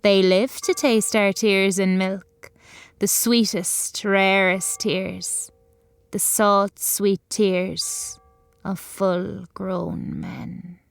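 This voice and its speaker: A woman narrates scripted horror like a solemn incantation. Her voice is smooth and of middling pitch, and she takes her time over each poetic phrase. Faint music sits behind her. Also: little pitch movement, drawn-out words, dry recording.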